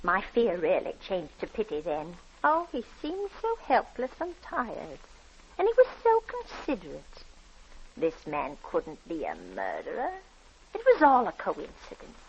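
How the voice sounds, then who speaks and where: A woman's voice: fairly low pitch, huge pitch swings, unhurried, slight background noise. An actress playing a flustered middle-aged landlady in a 1940s radio drama, with a breathless, dramatic delivery. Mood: uneasy, pitying. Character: anxious, flustered working-class landlady and mother, middle-aged. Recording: dull 1940s radio recording with music.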